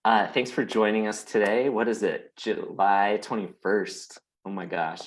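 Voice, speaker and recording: A man's voice: fairly low pitch, fairly even intonation, a little slow. A man hosting a casual online interview show. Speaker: host of a weekly chat show.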